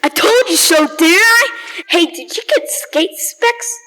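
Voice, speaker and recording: A woman acting as an excitable, boastful young urchin boy in a radio drama, with folksy, slangy speech. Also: very high-pitched, takes it slowly, exaggerated voice. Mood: boastful, cocky. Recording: roomy hall with long reverb.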